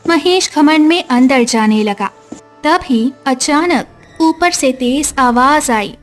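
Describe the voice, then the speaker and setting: A woman's voice: high-pitched, lively intonation, slow, soft background music. A woman narrating a scripted moral story for a cartoon, as a storyteller.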